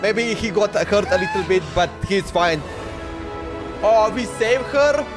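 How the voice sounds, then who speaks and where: A man with a high-pitched voice gives casual live commentary as a game streamer. He is informal and exclamatory, reacting as he plays, with game music behind him. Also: expressive, a little slow. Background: video game music.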